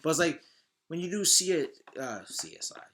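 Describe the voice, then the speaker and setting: A man hosting a podcast in casual chat, talking in a slangy, halting way and often repeating himself. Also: fairly high pitch, big pitch swings, bright, forceful tone, slight background noise. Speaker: podcast host.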